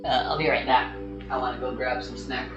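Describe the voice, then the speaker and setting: A woman's voice: very deep voice, fairly even intonation, fairly quick, lo-fi sound. A woman giving casual, offhand gameplay commentary in muttered asides on a roomy mic, with game music behind her. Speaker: game streamer. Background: loud game music.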